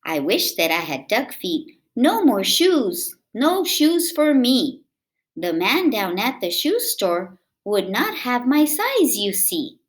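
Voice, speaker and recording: A woman reading a picture book aloud to young children in an expressive way, with drawn-out emphasis and chatty asides. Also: on the high side.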